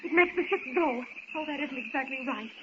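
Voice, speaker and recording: A woman's voice: high voice, fairly quick, close to an everyday voice. An actress plays a capable, refined young woman in a 1930s radio adventure serial. She speaks with anxious urgency, patiently explaining as she goes, on a dull old recording with a little hiss. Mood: cautious, explaining.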